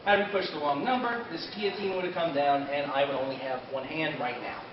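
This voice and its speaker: Higher-voiced man presenting in an echoey room. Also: fairly quick, a crowd in the background. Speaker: convention panel presenter. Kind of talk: informal panel talk about an anime, partly in character. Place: convention panel room, indoors. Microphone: distant camera microphone. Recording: roomy, dull, distant camera with audience.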